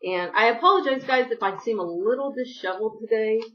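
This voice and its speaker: A woman's voice: fairly high pitch, brisk. Woman, casual haul vlog, rambling, sniffly, slightly distant mic, muffled recording. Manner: chatty, rambling, apologetic asides. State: sniffly from allergies. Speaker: beauty vlogger.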